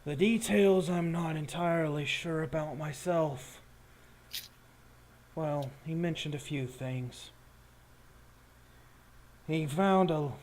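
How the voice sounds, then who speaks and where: A man voicing a measured warrior leader with grudging respect and an understated, hesitant way of talking in a tabletop game. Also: a little high, darkish tone.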